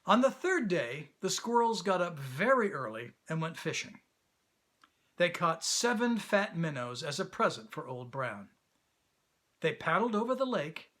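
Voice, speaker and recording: Slow, animated male storybook narrator. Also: on the high side. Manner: expressive, deliberate storytelling. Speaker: actor reading a children's story.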